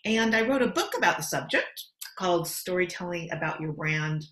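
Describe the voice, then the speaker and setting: A middle-aged American woman from Los Angeles, answering interview questions in an articulate, measured way. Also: on the low side, animated, fairly bright tone. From Los Angeles, USA. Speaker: guest. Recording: roomy echo.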